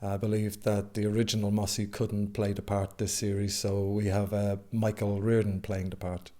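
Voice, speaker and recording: Halting actor, lowish voice. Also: male voice, little pitch movement, dark tone. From Dublin, Ireland. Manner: halting, many filler sounds. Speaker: actor being interviewed. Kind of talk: casual interview.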